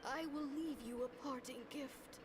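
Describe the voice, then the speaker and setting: An actress playing a stubborn, ailing old woman doctor in a video game, weary and deliberate in a dramatic style. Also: high-pitched, fairly even intonation, natural voice. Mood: resigned, resolute. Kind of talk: dramatic scripted game dialogue. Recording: clearly noisy game stream, ambient sound behind.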